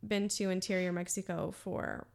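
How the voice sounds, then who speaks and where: A woman hosting a podcast from the United States, chatting casually, animated and emphatic, laughing often. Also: lowish voice, moderate pace, gravelly. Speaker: podcast host. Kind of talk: casual conversation.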